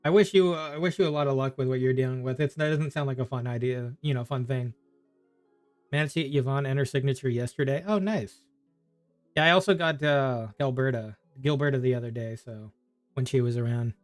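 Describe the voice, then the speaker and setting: A man's voice: medium pitch, a little fast, no room sound, faint music behind. A man chatting casually on a game livestream, rambling and thinking aloud as he plays. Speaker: game streamer. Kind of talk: casual livestream chat while gaming.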